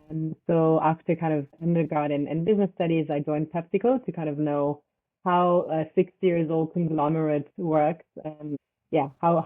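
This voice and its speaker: A young woman from Switzerland, a startup founder, answering interview questions in a loose, halting way full of fillers. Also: sits low. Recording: tinny phone-like line.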